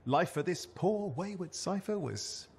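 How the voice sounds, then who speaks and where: A man's voice: high voice, expressive, measured pace, slight background noise. A man acting a chatty, restless young sidekick in a video game, with jokey, boastful banter that hides some insecurity. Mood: reminiscing.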